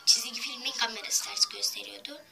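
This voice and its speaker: A video host giving casual reaction commentary while filming a cartoon on a screen with a phone, talking in quick, chatty, apologetic asides. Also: medium pitch, bright, forceful tone. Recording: phone recording with cartoon music behind. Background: cartoon soundtrack playing.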